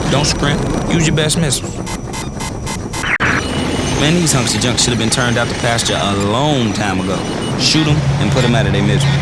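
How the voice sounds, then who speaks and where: A man's voice: mid-range voice, very noisy, natural voice. A man playing a wisecracking military briefer. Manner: brash, joking, slangy. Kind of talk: video game cutscene briefing.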